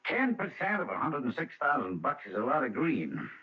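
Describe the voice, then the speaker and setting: Smug, animated insurance detective. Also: male voice, medium pitch, normal speed. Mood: smug, relentless. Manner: slangy, insinuating, chuckling tough talk. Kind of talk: radio drama acting. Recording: muffled old radio recording.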